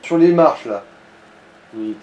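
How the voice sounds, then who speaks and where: A man's voice: mid-range voice, lively intonation, takes it slowly, a little mellow. A man guiding a player through a video game with casual tips and comments. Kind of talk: casual gaming chat, giving tips. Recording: roomy echo, faint background noise.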